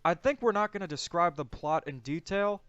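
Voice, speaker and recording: A man's voice: a little high, animated, normal speed, a bit bright, clear, resonant tone, no room sound. A man hosting a casual movie discussion, giving his opinions and explaining them. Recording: dull lo-fi audio, music bed. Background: music.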